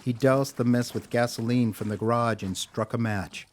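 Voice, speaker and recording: A man narrating an audio drama in a measured, deliberate storytelling style. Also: mid-range voice, steady tone.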